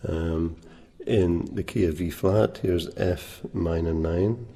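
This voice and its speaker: A soft-spoken man teaching a guitar lesson, unhurried, pausing often with ums and uhs. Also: deep voice, fairly even intonation. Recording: reverberant room with guitar playing.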